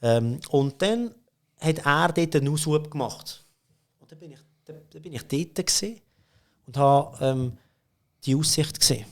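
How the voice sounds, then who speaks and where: Swiss German businessman guest, middle-aged, relaxed storyteller with a slightly raised pitch, laughs easily. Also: measured pace. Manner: chatty, laughs often, many fillers and restarts. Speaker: podcast guest, company CEO. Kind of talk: casual conversational storytelling.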